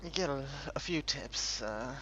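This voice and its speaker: A man giving casual, unscripted game commentary in a halting, rambling way, as if thinking aloud while he explains things. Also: mid-range voice, lively intonation, sharp tone. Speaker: gaming video creator narrating a tutorial. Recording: dull lo-fi home recording, music behind.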